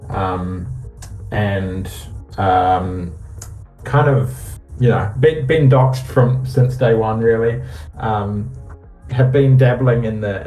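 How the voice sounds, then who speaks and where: A New man with a fairly low, mellow voice speaks as an interview guest, easy-going and full of ums and restarts. His voice sits in a roomy, echoey space, with faint music underneath. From New Zealand. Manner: relaxed, many ums and restarts. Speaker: guest, company CEO. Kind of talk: casual interview on a voice call.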